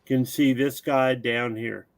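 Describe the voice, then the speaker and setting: A man's voice: medium pitch, steady tone, slow pace, creaky. A man casually explaining tabletop game rules during play, in a helpful, instructive way. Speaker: guest game designer teaching the game.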